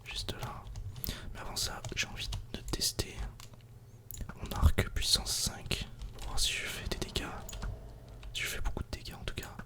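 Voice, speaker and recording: A man softly whispering casual, informal ASMR commentary while playing a video game. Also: normal speed. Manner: soft-spoken, casual, informal.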